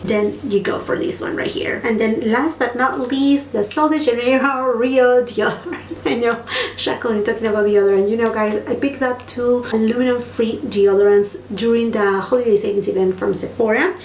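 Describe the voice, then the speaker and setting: A woman's voice: fairly high pitch, steady tone, normal speed, distant mic. Lady gushing bout products, echoey room w faint bg hum. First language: Spanish. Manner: enthusiastic, gushing, repeats words for emphasis. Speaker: beauty vlogger. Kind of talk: casual product review.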